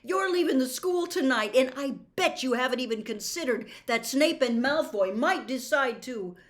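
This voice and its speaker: A woman's voice: high-pitched, animated, moderate pace, voice pushed up. A woman voicing an angry, distrustful teenage schoolboy in a storybook reading, strained and accusing. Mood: angry insistence. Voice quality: strained, shaking voice. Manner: heated, accusing, emphatic.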